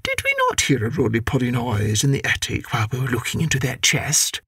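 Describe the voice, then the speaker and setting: A woman voicing a stern, no-nonsense neighbour cat in a storybook reading, dropping her voice lower and firm. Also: fairly quick, fairly bright tone, slightly rough, no echo, studio quality, full character voice.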